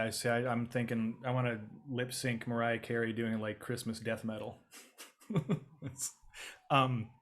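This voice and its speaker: A man from Michigan with a Michigan accent, hosting a casual video call and reading audience questions, chatty and quick to laugh. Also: medium pitch. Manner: chatty, laughing often, repeats words.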